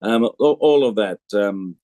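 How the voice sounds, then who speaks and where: A man with a fairly low voice talks as a cricket pundit. He is conversational and anecdotal, pausing and restarting as he builds his point. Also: mellow, dry recording, studio quality. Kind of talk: conversational sports commentary.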